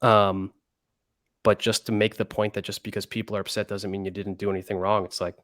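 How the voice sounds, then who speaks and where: A man talks through his answer in a low voice, circling back with likes and ums and restarting his sentences. The sound is dry and close, with no room around it. It sounds like casual conversation rather than a prepared speech. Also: little pitch movement, fairly quick, fairly bright tone, no room sound. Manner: rambling, many fillers and restarts. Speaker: interview guest.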